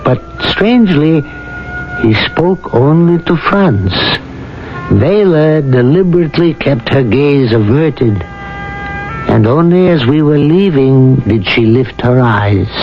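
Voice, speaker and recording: An actor playing a sombre villager recounting his memories in a 1970s radio drama, measured and reflective. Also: medium pitch. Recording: muffled 1970s radio with music.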